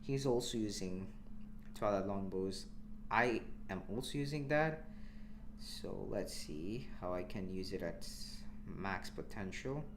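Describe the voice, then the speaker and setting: A young man from India commentating his live game stream in a relaxed, chatty way, answering chat as he plays. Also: on the low side, slow pace, noisy background. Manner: relaxed, chatty, with frequent restarts and small laughs.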